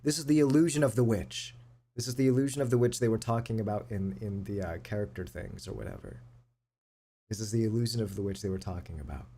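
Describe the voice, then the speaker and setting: A man's voice: fairly low pitch, fast talker, mellow, a little hiss or hum. A man streaming a game, reading a visual novel aloud and reacting casually. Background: music.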